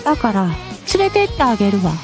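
Woman acting a loud, cheeky high-voiced young witch. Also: sing-song, fast talker, over music. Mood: blunt, determined. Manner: cocky, blunt, forceful. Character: cheeky, bossy young witch girl, brash but kind. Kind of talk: anime-style audio drama acting.

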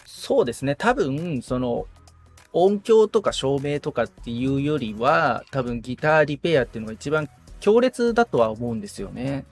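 A man's voice: mid-range voice, very animated, normal speed, husky, faint music behind. A man around forty talking as a podcast guest in a thoughtful, explanatory way, with tentative phrasing in a casual interview. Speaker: podcast guest, guitar repair technician.

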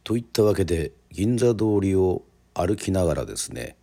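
芝居がかったラジオドラマの語り口で、あらたまった口上のように話す男性のナレーター。. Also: male voice, sits low, big pitch swings, vocal fry, background noise. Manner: mock-formal, drawn-out announcer phrasing. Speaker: narrator, storyteller. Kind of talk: theatrical radio drama narration.

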